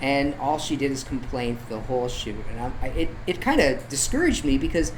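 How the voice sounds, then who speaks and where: A man's voice: mid-range voice, sing-song, a little fast, rough recording. A man talking casually as an interview guest, telling informal stories and voicing the quotes in them. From Canada. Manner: informal anecdotes with acted-out quotes. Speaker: podcast guest, photographer. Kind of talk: casual chat. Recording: faint background noise.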